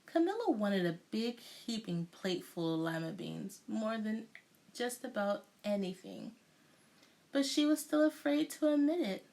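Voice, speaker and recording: Slow, expressive woman reading a storybook. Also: a little high. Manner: deliberate, dramatic storytelling delivery. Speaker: storyteller reading a picture book aloud. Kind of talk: expressive children's read-aloud narration.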